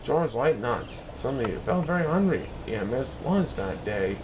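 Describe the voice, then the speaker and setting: A man reading a children's picture book aloud in an expressive, dramatic way, occasionally stumbling over words. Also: mid-range voice, moderate pace, noisy background. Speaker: storybook reader, show host.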